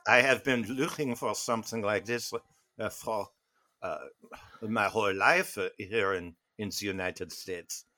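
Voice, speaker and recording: Guy doing a snooty fake french dude, thick put-on accent, sloooow, uh uh uh every few words, kinda lowish voice. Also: steady tone, sharp tone. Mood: hesitant. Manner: halting, constant "uh" fillers, slow and hesitant. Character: pompous fake-French middle-aged gentleman. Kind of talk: improvised comedy bit.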